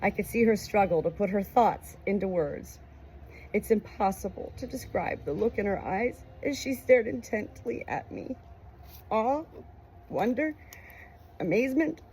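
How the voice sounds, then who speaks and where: A woman narrating a memoir aloud in an expressive, emotive way. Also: mid-range voice, a little slow, a little hiss or hum, low-quality recording. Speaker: audiobook reader.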